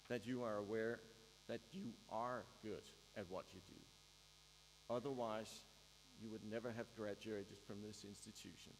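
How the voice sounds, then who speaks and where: Danish-accented man giving a hall speech. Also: mid-range voice. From Denmark. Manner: measured, with dry humor. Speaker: graduation speaker. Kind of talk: prepared ceremonial speech. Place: large ceremony hall. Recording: reverberant hall with faint mains hum.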